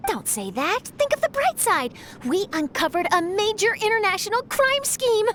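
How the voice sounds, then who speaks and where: A woman's voice: very high-pitched, very animated, moderate pace, fairly bright tone, a little hiss or hum, heavily acted. A woman voicing an eager young female news reporter in an anime-style game, perky and inquisitive.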